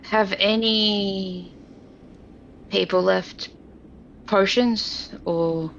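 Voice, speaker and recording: A woman's voice: mid-range voice, steady tone, extremely slow, vocal fry, a bit bright, highs cut off, slight background noise. A woman chatting casually as a player in a tabletop game.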